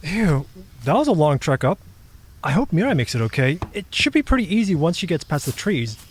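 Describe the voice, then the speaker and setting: An actor playing a polite, timid young male raccoon dog in an audio drama, hesitant and gentle. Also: medium pitch, sing-song, brisk, husky. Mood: friendly, concerned. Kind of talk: audio drama character acting.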